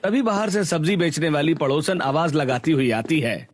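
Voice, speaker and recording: Slightly high-voiced male story narrator. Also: normal speed, faint music behind. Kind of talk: scripted children's cartoon story narration.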